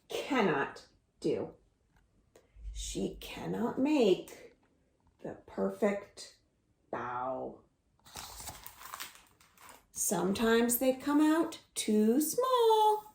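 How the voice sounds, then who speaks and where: A woman librarian reading a picture book and singing for young children at home, slow and coaxing, inviting them to join in. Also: mid-range voice, vocal fry, darkish tone, roomy sound. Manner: slow, singsong and coaxing, asks the listeners to join in.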